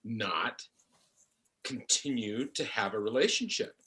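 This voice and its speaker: Middle-aged man, bright but husky. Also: lowish voice, big pitch swings. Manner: halting, repeats words as he searches for them. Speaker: actor on a discussion panel, speaking as a parent. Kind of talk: reflective personal discussion.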